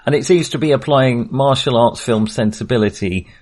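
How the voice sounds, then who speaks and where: A man's voice: mid-range voice, moderate pace, darkish tone. A man in a casual film discussion, thinking aloud in a hesitant way and correcting himself as he goes. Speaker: film podcast host.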